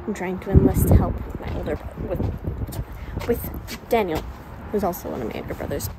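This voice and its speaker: A young woman chatting casually to a handheld camera as a vlog host, animated and joking at her own expense. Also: medium pitch, normal speed, very noisy. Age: young adult. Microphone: phone or camera at arm's length. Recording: music behind, handheld outdoor and indoor vlog.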